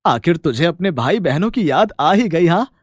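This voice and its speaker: A man voicing a humble, forgiving village farmer, an affectionate elder brother in an animated moral story. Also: a little high, normal speed, dry recording, clean studio sound. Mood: welcoming.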